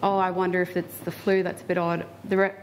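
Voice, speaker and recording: A young woman in her twenties recounts a personal experience to an audience. She speaks casually and hesitantly, with many fillers. Her voice sits slightly low, and a faint hiss sits behind her. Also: level tone, fast, rough recording. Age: 20s. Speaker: invited speaker.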